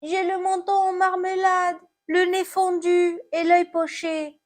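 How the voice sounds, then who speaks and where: Woman voicing a high, plaintive storybook apple. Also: little pitch movement, slow. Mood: plaintive, hurt. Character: battered apple, plaintive then gently resigned and generous.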